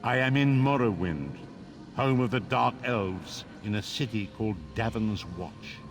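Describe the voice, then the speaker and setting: An older man playing a blind old prophet in a fantasy video game, frail and grave, speaking in solemn, measured, archaic phrases. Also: on the low side, soft background music. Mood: grave, urgent. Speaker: story guide. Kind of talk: dramatic fantasy game narration.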